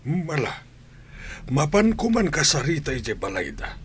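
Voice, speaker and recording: A man's voice: on the high side, very animated, unhurried, noisy background. A man with a Filipino accent playing a worried, stern old grandfather in a radio drama, speaking in an admonishing way. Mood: stern concern.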